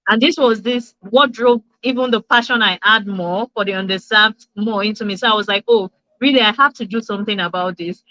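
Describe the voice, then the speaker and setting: Young Nigerian woman, earnest interview guest; bright voice, dry muffled recording. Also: mid-range voice, fairly quick, few pauses, no echo. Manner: earnest, with frequent ums and restarts. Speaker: dentist guest. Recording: dull lo-fi dry sound.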